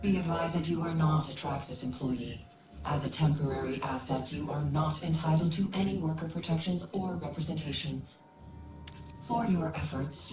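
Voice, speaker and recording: A man's voice: a little high, roomy sound, recorded from a distance, lightly acted, rough recording. A man voicing a cold, legalistic corporate liaison AI in a video game, speaking formally and officiously. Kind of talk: scripted video game cutscene dialogue. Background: music.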